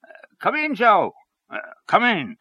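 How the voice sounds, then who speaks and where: A man's voice: mid-range voice, sing-song, normal speed, dull audio, pitched above the performer's own voice. A man voicing a blustering, opinionated political canvasser in a fierce, emphatic, colloquial manner, in a story reading. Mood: fierce, rousing.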